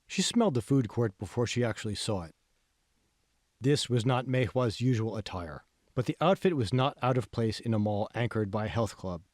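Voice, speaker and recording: A man's voice: mid-range voice, expressive, normal speed, darkish tone, dry recording, studio quality. A man reading scripted fiction as the narrator of an audiobook. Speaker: author reading own audiobook.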